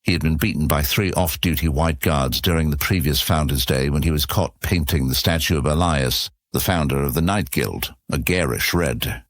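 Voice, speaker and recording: A man's voice: very deep voice, moderate pace, few pauses, no echo, studio quality. A man narrating a scripted fantasy story as the narrator of an audio serial.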